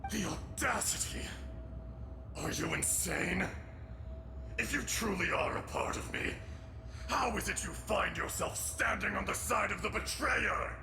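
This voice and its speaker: Bitter ancient dragon, high gravelly male voice, theatrical, scornful, echoing, game music behind. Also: sing-song, measured pace, exaggerated voice. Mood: outraged. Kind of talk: dramatic video game voice acting.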